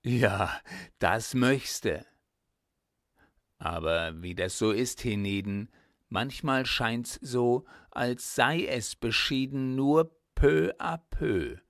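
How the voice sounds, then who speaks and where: Very slow-paced man reciting poetry. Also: medium pitch, dry recording, studio quality. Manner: deliberate, theatrical, with dramatic pauses and shifts in emphasis. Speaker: audiobook reader of poetry.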